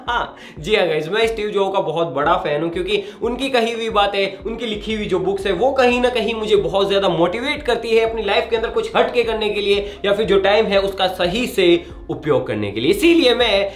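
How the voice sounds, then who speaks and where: Young male host, excitable delivery, roomy sound with music behind. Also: sits high, fairly quick. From India. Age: young adult. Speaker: host of a science experiment channel. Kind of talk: energetic presenting to camera. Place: indoor studio with plain grey backdrop. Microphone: clip-on lapel mic.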